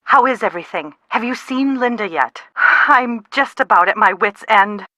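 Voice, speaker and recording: A woman playing an anxious, sharp-tongued middle-aged mother in a video game, with a dry, dramatic manner. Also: a little high, expressive, moderate pace, dry recording. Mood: worried, pleading. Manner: exasperated, dramatic, dry wit. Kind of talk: video game dialogue, phone conversation acting.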